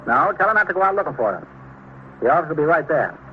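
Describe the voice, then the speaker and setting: An American man playing a brisk, insistent police switchboard sergeant in a 1950s radio drama. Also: on the high side, sing-song. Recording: thin, phone-like hissy old radio recording.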